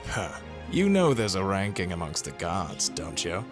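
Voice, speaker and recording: A man's voice: sits low, very animated, a little slow. A man voicing a vengeful, menacing young card-duel villain in a dramatic, brooding manga style. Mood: menacing, smug. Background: background music.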